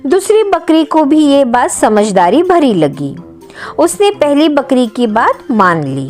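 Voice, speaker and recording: A woman's voice: sits high, sing-song, slow pace, darkish tone. A woman narrating a scripted moral story for children as a storyteller. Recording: music behind the voice. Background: music.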